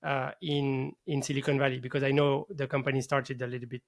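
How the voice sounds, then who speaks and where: A man's voice: mid-range voice, level tone, fairly quick, gravelly. A man hosting a show in a casual, enthusiastic interview style. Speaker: podcast host.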